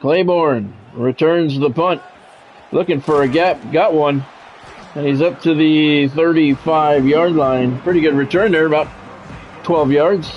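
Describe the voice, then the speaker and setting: Higher-pitched American man calling a football game. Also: measured pace, slight background noise. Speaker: game streamer, play-by-play commentator. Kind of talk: live sports-style commentary mixed with casual chat. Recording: dull lo-fi sound with music behind.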